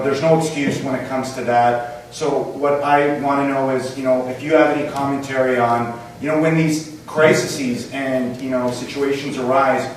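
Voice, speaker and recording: A man giving a halting, earnest, unscripted question from the audience at a public meeting. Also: mid-range voice. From Syria or Canada. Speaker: audience member asking a question. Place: civic centre meeting room. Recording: echoey hall from far off, faint noise.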